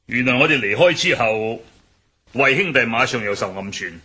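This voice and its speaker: An actor playing a loyal, brisk middle-aged gang elder in a radio drama, speaking with a Cantonese accent and a husky voice. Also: male voice, mid-range voice, animated, bright, forceful tone. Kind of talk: 1960s-style radio drama acting.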